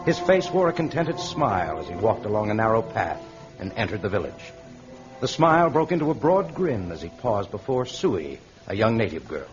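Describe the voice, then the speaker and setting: A man's voice: on the low side, very animated, normal speed, music in the background. A man narrating a story in old-time radio style. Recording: muffled vintage radio recording.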